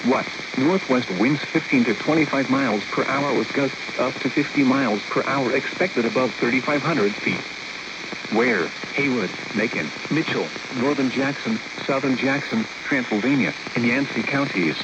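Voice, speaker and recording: A computer-generated male voice reading a weather bulletin in a mechanical, evenly paced way, with clipped headings between items. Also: medium pitch. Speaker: automated weather radio announcer. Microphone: weather radio receiver. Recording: dull radio broadcast with loud static.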